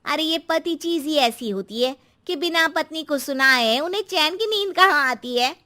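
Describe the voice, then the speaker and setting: A woman's voice: sits high, expressive, moderate pace, pushed and bright, pitched above the performer's own voice. A woman voicing a chatty, knowing housewife neighbour in a cartoon story.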